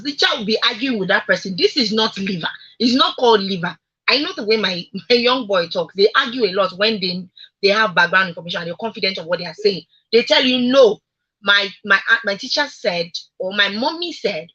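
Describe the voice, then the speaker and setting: Bright, husky-voiced Nigerian woman hosting radio talk. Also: mid-range voice, expressive, brisk, dull audio. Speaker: radio talk show host. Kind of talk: conversational radio discussion.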